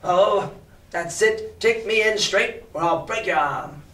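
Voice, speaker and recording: A man's voice: sits high, takes it slowly, fairly bright tone, voice pushed up. A man voicing a cruel, sly old blind beggar in a storybook, shifting between wheedling and hard commands. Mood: cruel, commanding. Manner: odd sing-song wheedle that turns to hard commands. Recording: roomy, distant mic with background noise.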